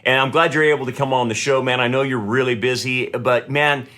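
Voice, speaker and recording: Middle-aged male host, mid-pitched, friendly, meandering questions, lots of restarts. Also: fairly even intonation, a little fast, a bit bright. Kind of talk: conversational interview hosting.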